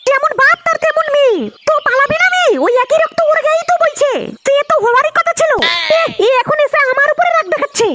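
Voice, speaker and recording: A woman's voice: very high voice, fast, noisy background. A woman voicing a scolding, sarcastic cartoon wife who nags and taunts in a comedy. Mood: taunting, angry. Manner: nagging, taunting.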